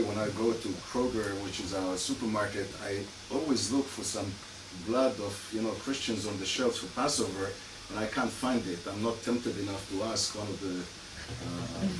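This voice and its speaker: A man with a Hungarian and Israeli accent giving an academic lecture as a criminologist, with many fillers and dry asides. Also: lowish voice. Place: lecture room. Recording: echoey hall, far mic, noisy.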